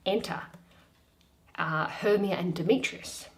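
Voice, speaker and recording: A woman's voice: lowish voice, slow pace. A woman giving a theatrical solo reading of a Shakespeare play, announcing each character before the lines. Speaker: reader of a play, channel host.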